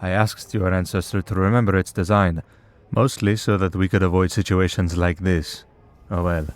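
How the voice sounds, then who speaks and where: Man playing wry, low-voiced immortal vampire hunter. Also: steady tone, normal speed, close to an everyday voice. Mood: measured, wry. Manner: wry, conversational, dryly exasperated. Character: centuries-old male vampire hunter in priest's collar, wry, patient. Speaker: voice actor. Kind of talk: scripted ASMR roleplay.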